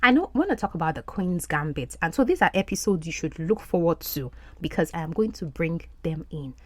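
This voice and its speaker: A woman whose first language is Yoruba, hosting a podcast as an English language coach and speaking in an animated, encouraging way. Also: fairly low pitch, a little fast, dry recording. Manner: animated, encouraging teacher's delivery.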